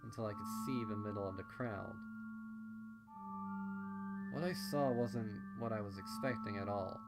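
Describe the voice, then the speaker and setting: A man reading a first-person story aloud in a conversational, measured storytelling manner. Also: fairly low pitch. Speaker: fan fiction reader. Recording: loud music behind the voice.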